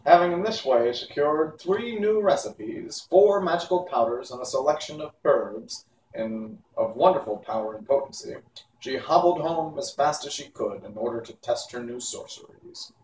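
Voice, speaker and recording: A man narrates a children's novel in a medium-pitched voice. His delivery is that of an unpolished amateur reader. He sounds set back from the mic in an echoey room, with faint noise behind him. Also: lively intonation, normal speed, darkish tone, distant mic. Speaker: storybook reader. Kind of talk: reading a children's novel aloud.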